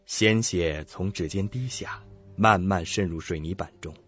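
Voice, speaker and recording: A man's voice: low voice, a little slow, a bit bright, breathy edge, lo-fi sound. A man narrating a suspense audio drama in a dramatic, scripted storytelling style. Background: music.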